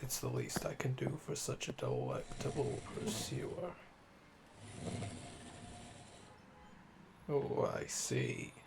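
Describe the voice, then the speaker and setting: A man playing a calm, flirtatious, obsessive assassin under interrogation in an ASMR audio drama, teasing and deliberate, with breathy murmurs. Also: mid-range voice, noisy background, heavily acted.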